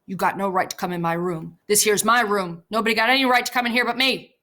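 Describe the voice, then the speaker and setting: A woman's voice: mid-range voice, normal speed, natural voice. Lady reading as a bitter old stable hand, snaps his words short, rural talk. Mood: hostile. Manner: sharp, blunt rural speech. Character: bitter, guarded older male stable hand.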